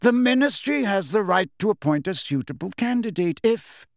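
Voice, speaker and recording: A man's voice: sits high, animated, moderate pace, gravelly, no room sound, clean studio sound, voice pushed up. A man voicing a very old wizard headmaster who speaks pleasantly, courteously and without hurry.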